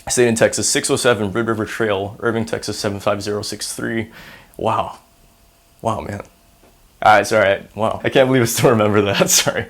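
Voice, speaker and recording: A man's voice: lowish voice, steady tone, a little fast, fairly bright tone. A young man in his twenties from Singapore, speaking casually with a hybrid American and Singaporean accent, rambling with lots of fillers and self-deprecating humor. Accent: mix of American and Singaporean. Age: 20s. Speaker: university student. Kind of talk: casual on-camera interview monologue.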